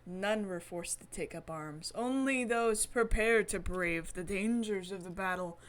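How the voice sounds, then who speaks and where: A woman with a mid-pitched voice reads a visual novel aloud for her gaming channel. Her pace is slow and dramatic, broken by enthusiastic, giggly commentary. Faint noise sits behind the voice. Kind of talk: reading game text aloud dramatically with excited asides.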